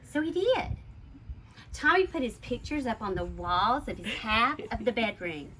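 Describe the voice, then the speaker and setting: A woman reads a children's story aloud in a bright, slightly high voice. Her delivery is expressive and emphatic, as a teacher would read to a class. Faint noise sits behind the voice. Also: a little slow, poor audio. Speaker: elementary school teacher reading a picture book aloud to pupils.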